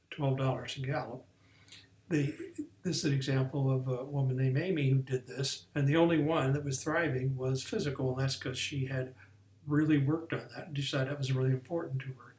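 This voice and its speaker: A man's voice: medium pitch, a little mellow, reverberant, poor audio. A man explaining a career course in a plain, step-by-step way, with some restarts and fillers. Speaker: career coach. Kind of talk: recorded online training lesson.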